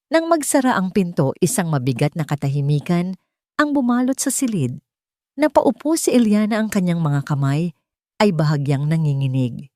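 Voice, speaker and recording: A woman narrates dramatic fiction in a low, mellow voice. The sound is close and dry, suited to an audiobook. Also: animated, normal speed, no room sound. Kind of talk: scripted dramatic story narration.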